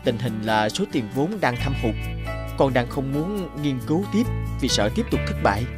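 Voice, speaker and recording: A man's voice: mid-range voice, lively intonation, moderate pace, close to an everyday voice. A man playing an earnest, determined young farmer son in a cartoon, explaining things in earnest. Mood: discouraged, explaining. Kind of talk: animated cartoon dialogue. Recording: background music under speech.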